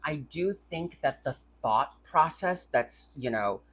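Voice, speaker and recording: Husky-voiced man in casual chat. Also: fairly high pitch, expressive, takes it slowly, fairly bright tone. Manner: irreverent, crude joking. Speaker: podcast co-host reviewing short fiction. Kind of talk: casual banter and review chat.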